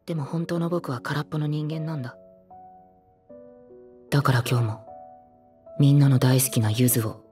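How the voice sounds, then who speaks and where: A man's voice: medium pitch, steady tone, moderate pace, long pauses, warm tone, faint music behind, close to an everyday voice. A man playing a bitter, self-deprecating teenage boy idol and former child star in a Japanese audio drama. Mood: melancholy, resigned. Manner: cheeky, self-deprecating, theatrical.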